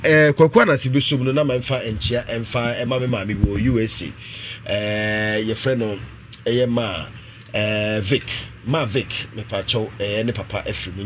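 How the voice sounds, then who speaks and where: A man in his thirties with a Ghanaian accent, reading sponsor messages and community announcements on radio with frequent pauses. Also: mid-range voice, a bit bright, slight background noise. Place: small radio studio indoors. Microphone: desk studio microphone.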